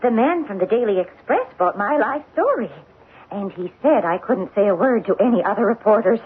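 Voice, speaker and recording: A woman's voice: mid-range voice, expressive, fairly quick, husky. An actress in a radio drama playing a blunt, chatty working-class cleaning woman with colloquial, emphatic speech. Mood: guarded, chatty. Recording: muffled lo-fi radio broadcast.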